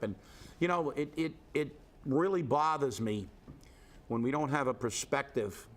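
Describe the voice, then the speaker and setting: A man hosting a local political commentary show, stuffed up with a head cold, talking in an indignant, rambling way with many restarts. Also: medium pitch. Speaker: host.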